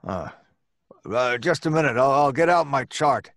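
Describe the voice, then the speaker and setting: Radio play superintendent: wary, colloquial, obliging but blunt, bouncy intonation, close dry sound. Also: male voice, mid-range voice, lively intonation, moderate pace, fairly bright tone, dry recording. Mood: puzzled, obliging. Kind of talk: old-time radio suspense acting.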